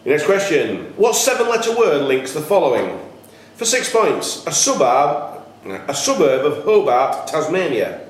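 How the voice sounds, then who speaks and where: A man working as a quiz host, reading questions aloud in a deliberate, enunciated way. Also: fairly high pitch, sing-song. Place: echoey indoor room at a cricket club. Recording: very echoey room, faint background noise.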